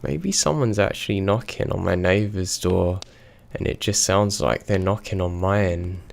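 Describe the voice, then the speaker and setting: Slow, gravelly deep-voiced male narrator. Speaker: horror story narrator. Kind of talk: scripted horror narration.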